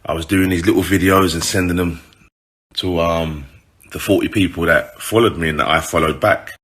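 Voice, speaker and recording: A man's voice: low voice, steady tone, normal speed, a bit bright. A man with a British urban accent telling a story casually to his followers, informal, slangy and sweary.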